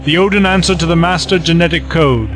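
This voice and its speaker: A man's voice: a little high, close to an everyday voice. A man playing a smug scheming villain. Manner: smug, menacing, measured. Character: scheming male villain Master, cold and commanding. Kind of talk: fan audio drama. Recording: music bed behind voices.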